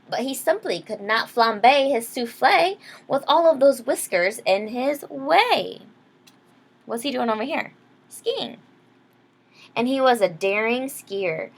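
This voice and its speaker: A woman reading a picture book aloud to young children, explaining things simply and checking in with questions. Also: fairly high pitch. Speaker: children's storybook reader, teacher. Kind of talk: read-aloud storytime with explanations.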